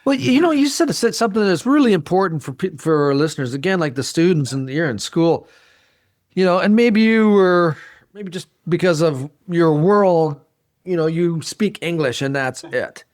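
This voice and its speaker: A man's voice: fairly high pitch, expressive, moderate pace, a little mellow. A man hosting a podcast in casual conversation, speaking in an enthusiastic, rambling way and often repeating himself. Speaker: podcast host.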